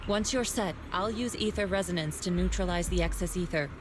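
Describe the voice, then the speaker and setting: A woman's voice: fairly low pitch, a little fast, noisy background, natural voice. A woman voicing a composed, commanding martial arts master who reassures her pupils, in an anime game. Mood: calm, commanding.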